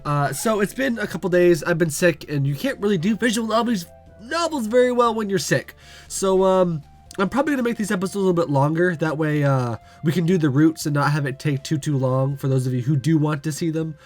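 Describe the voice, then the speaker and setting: A man's voice: on the high side, a little fast, soft background music. A man hosting a visual novel playthrough, talking casually and jokingly with lots of fillers and asides. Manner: rambling, joking, many fillers. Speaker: let's play host.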